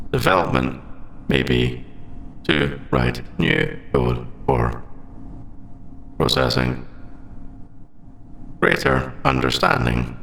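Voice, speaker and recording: A man voicing a formal, logical android AI in an ASMR audio roleplay, with precise, stilted phrasing and gravelly endings to his phrases. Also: mid-range voice, fairly bright tone, slight background noise, heavily acted. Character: formal, logical male android AI, secretly sentient and earnest.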